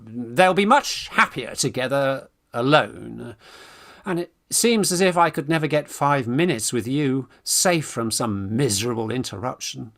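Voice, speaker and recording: An actor playing a flustered young Victorian gentleman in a radio farce, British, stammering and exclamatory, eager in courtship and bossy with friends. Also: mid-range voice, expressive, unhurried, gravelly. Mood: nervous, wooing. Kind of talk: stage farce acting for radio play.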